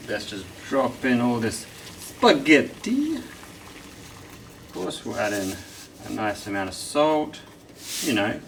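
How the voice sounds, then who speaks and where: A man's voice: mid-range voice, noisy background. A young man hosting a home cooking vlog, talking casually and rambling as he corrects himself mid-sentence. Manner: relaxed, rambling, self-correcting. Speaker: cooking channel host. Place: home kitchen.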